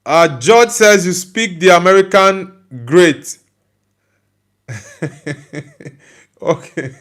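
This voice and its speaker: A young man with a Nigerian accent hosts a casual live football stream. His voice sits a little high. He talks loosely, with plenty of fillers and restarts as he answers the chat. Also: animated, very slow, fairly bright tone. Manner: loose, hesitant talk with many fillers and restarts. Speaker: football channel host reading live chat.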